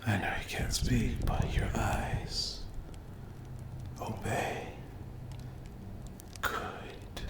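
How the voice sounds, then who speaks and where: A man voicing a menacing, sadistic demon captor in a whispered ASMR dark roleplay, breathy and gravelly, taunting with possessive, mock-tender threats. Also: fairly low pitch, level tone. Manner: slow, drawn-out, taunting, threatening.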